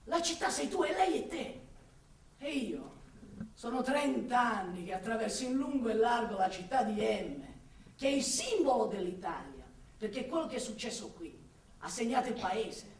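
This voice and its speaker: A roomy, distant recording carries a high-pitched woman in a theatrical radio monologue. She is an impassioned city dweller, torn between love and exasperation. She declaims, stretching and repeating her words for dramatic weight. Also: big pitch swings, slight background noise. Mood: probing, intense. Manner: declamatory, with drawn-out words and repetitions. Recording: roomy echo, distant from the mic.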